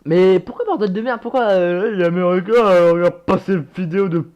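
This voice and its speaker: Young male vlogger, casual and self-mocking, swears freely, voice sits a bit high. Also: fairly even intonation, brisk, a little mellow, smooth, highs cut off. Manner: chatty, self-mocking, swears often, laughs at himself. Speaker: YouTuber reacting to his old videos. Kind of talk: casual reaction commentary.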